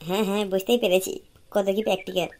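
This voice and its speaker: A woman's voice: medium pitch, fairly even intonation, very fast, noisy background, lightly acted. A woman voicing a coy, scheming married village woman in a comic cartoon, with a West Bengal Bengali accent and a teasing, bossy manner.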